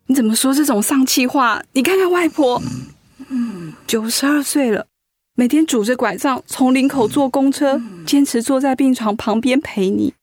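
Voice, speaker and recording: An actress playing a devoted, firm wife in a radio drama, speaking earnestly and emphatically. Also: female voice, high-pitched, normal speed, dry recording, studio quality. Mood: firm, pleading. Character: devoted, firm wife urging on her sick husband. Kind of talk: Mandarin radio drama acting.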